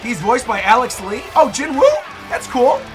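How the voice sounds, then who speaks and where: A woman hosting casual, animated reaction commentary on game trailers. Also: on the high side, moderate pace, soft background music.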